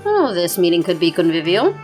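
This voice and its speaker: A woman playing a brisk, pointed female committee member in an audio drama. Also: fairly low pitch, huge pitch swings, a little mellow, soft background music. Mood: brisk, agreeable.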